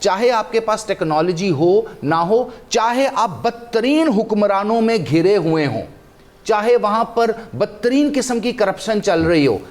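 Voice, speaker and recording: A man's voice: high voice, lively intonation, a little slow. A man with a Pakistani accent giving impassioned, emphatic religious and historical commentary as a talk show guest, building his points through repetition.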